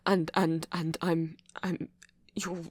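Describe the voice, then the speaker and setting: A man playing an anxious, protective centuries-old male vampire in an ASMR romance roleplay, with a British accent and a soft, halting, stammering delivery. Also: on the high side, level tone, slight background noise. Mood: distraught, guilty.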